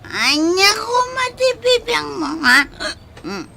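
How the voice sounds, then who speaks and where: A woman's voice: extremely high pitch, very animated, takes it slowly, creaky, bright, forceful tone, slight background noise, full character voice. A young woman acting in an Ilocano radio drama as a stubborn, drunken young woman grieving her sweetheart, with emotional, tearful delivery. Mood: drunken, defiant, upset.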